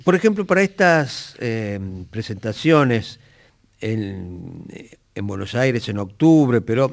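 A man hosts a radio interview in an easy, conversational way, dropping in casual interjections between questions. His pitch moves a lot, with rises and dips. A gravelly fry runs through his voice. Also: lively intonation, slow pace. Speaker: radio host.

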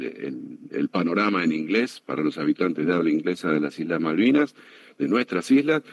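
A man with a Buenos Aires area Argentine accent, a public radio worker earnestly answering interview questions with fillers and restarts. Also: low voice. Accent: Buenos Aires area Argentine Spanish.

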